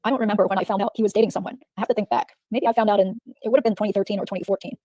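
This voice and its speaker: A woman's voice: medium pitch, fairly even intonation, mellow, no room sound, lightly acted. A woman reading a guarded female witness. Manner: guarded, measured answers. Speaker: reader in a deposition reenactment. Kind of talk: read-aloud legal reenactment.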